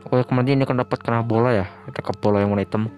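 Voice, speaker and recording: An Indonesian man hosting a reaction video with casual, slangy, excitable commentary. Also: medium pitch, very fast. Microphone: close microphone at home. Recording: music behind the voice, some room noise.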